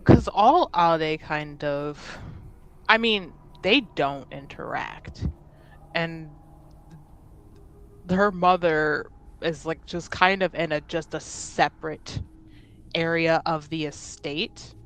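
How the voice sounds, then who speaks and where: A woman's voice: deep voice, slow, a bit bright, poor audio. A woman playing a tabletop roleplaying game, chatting casually and hesitantly as she thinks aloud about her character. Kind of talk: casual improvised roleplay chat.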